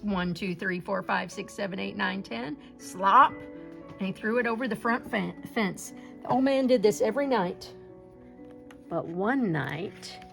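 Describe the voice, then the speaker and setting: Very creaky woman reading a storybook. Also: mid-range voice, fairly bright tone. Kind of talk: expressive picture-book read-aloud.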